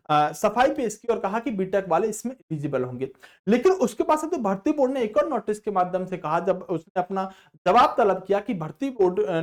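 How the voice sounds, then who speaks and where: An educator presents exam news to students in an explanatory, emphatic way. The pitch moves freely around a middle register. Listeners are addressed as friends, and points are set up as questions and then answered.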